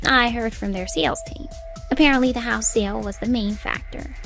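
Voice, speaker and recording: Manga dub secretary, female actor: high voice with some fry, animated, stern and businesslike, reproachful. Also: a little fast, faint music behind. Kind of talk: expressive manga dub voice acting.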